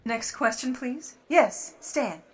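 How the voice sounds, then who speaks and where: A woman's voice: fairly high pitch, animated, slow pace, husky, faint music behind, exaggerated voice. A woman playing a poised, imperious and sly starlet in an old Hollywood radio play. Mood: poised, dismissive. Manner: poised, curt, arch.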